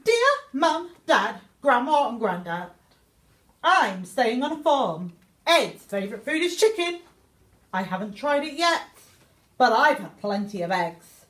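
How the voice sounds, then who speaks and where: Englishwoman, theatrical children's storyteller as a young meerkat, high-pitched and unhurried, roomy sound. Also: sing-song, a bit bright. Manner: exaggerated, theatrical delivery. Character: young male meerkat writing eager letters home. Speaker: teacher reading a picture book aloud. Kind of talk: expressive children's story reading. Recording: echoey room.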